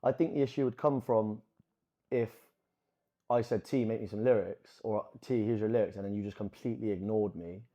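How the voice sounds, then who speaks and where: A man's voice: lowish voice, fairly even intonation, moderate pace, warm tone, dull audio. Rambling man with a Brazilian accent. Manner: rambling, restarts sentences often. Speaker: podcast co-host. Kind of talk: casual chat.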